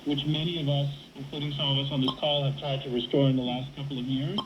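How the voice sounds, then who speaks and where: A man giving measured political analysis as a panel guest, laying out his points in order, heard over shortwave radio. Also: medium pitch, level tone, dull audio. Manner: structured, enumerating points. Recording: roomy call over noisy shortwave radio.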